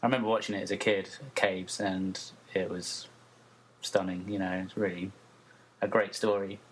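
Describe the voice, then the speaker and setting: A man from the UK hosts a casual podcast interview in a fairly low voice. He is easygoing and encouraging and laughs along with his guest. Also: flat delivery, moderate pace, a little hiss or hum. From United Kingdom. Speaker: podcast host.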